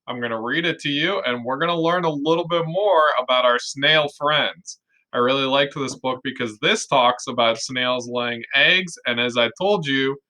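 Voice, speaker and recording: A male kindergarten teacher reads aloud to young children in a bright, forward voice. He takes his time, stressing key words and explaining things simply. His delivery is expressive and full of guiding questions. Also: mid-range voice, low-quality recording.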